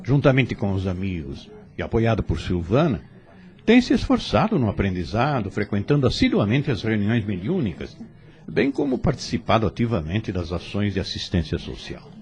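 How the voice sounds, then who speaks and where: A man's voice: fairly low pitch, huge pitch swings, moderate pace, a little mellow. A Brazilian man playing a serene, fatherly spirit instructor in an audio drama, speaking formally and consolingly as he teaches. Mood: calm, instructive.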